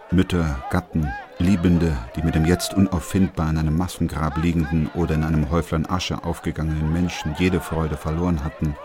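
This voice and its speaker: An actor playing a sober, compassionate doctor who narrates a radio play in a measured, grave literary style. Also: male voice, extremely low pitch.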